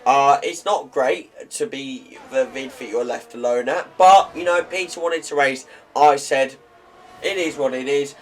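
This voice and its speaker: A man with a slightly croaky voice commentating a sim racing league, chatty and rambling with fillers and self-corrections. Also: mid-range voice, measured pace. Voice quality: slightly hoarse.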